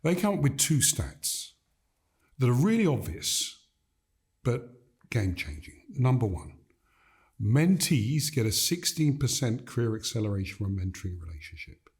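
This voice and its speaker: An older man from Gambia speaks as a guest in an interview, measured and emphatic. He stacks his points in repeated phrases. His voice sits mid-range and sounds mellow and warm. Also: mid-range voice, sing-song. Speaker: guest, leadership coach. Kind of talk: reflective interview conversation.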